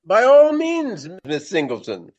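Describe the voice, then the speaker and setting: An actor playing a vain, elderly Regency stage actor with a pompous, florid, courtly manner in a radio play. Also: male voice, sits high, huge pitch swings, unhurried, muffled recording, exaggerated voice.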